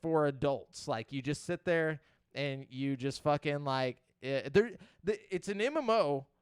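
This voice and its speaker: A man's voice: fairly high pitch, measured pace, clear, resonant tone, dry recording. Male podcast host, clean close dry sound. Blunt, skeptical, casual debate with plenty of swearing.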